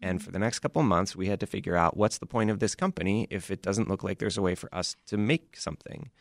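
Low-voiced man, halting interview guest. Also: creaky, dry recording, clean studio sound. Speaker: interview guest, audio drama producer. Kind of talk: casual interview talk. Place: dry radio studio.

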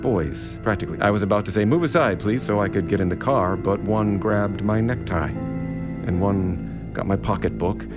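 A man plays a courteous murdered husband telling his story in a radio drama. His voice is on the low side and measured, with guitar music loud beneath it. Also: lightly acted. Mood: rueful, tense. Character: dead, courteous married riot victim recounting his killing.

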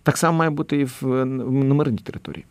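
A man's voice: medium pitch, steady tone, fast, no echo. A man from Ukraine, a journalist, answering interview questions thoughtfully with hesitations and fillers.

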